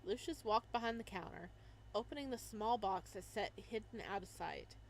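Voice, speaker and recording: A woman's voice: medium pitch, moderate pace, slight background noise, low-quality recording. A woman reading a visual novel aloud, narrating and adding giggly, chatty asides.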